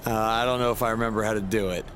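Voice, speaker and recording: A man's voice: lowish voice, steady tone, rapid-fire, a bit bright, natural voice. Guarded young wrestler, a man acting, noisy backdrop. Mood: casual, sincere. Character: reserved young male wrestler, loyal protégé. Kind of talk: video game cutscene acting.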